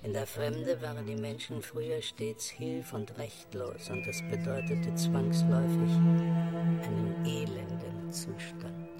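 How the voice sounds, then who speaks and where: A man's voice: fairly high pitch, fairly even intonation, a little slow, over loud music, close to an everyday voice. A man playing a talking encyclopedia in a radio drama, reading definitions in a didactic, matter-of-fact way. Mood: factual. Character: factual male encyclopedia voice explaining words. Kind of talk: scripted encyclopedic narration.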